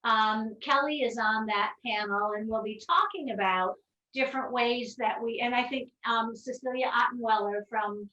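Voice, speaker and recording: A woman moderating a live-streamed panel, chatty and filling pauses as she talks. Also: on the high side, bright, forceful tone, slight background noise. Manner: chatty, many fillers. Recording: dull, echoey, distant audio.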